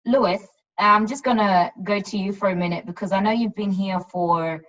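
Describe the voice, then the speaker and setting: A woman's voice: medium pitch, normal speed, far from the mic. Moderator, female, from Burundi; easygoing, encouraging, heard off-mic on a dull recording. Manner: relaxed, encouraging, hands the floor around. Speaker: discussion moderator, youth programs coordinator. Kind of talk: hosting a panel discussion.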